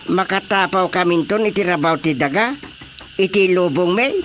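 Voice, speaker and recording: A woman's voice: fairly low pitch, normal speed, close to an everyday voice. A woman playing a nervous, flustered village captain in a radio comedy, stammering and exclaiming anxiously. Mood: eager. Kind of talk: radio comedy drama acting. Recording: faint noise behind the voice.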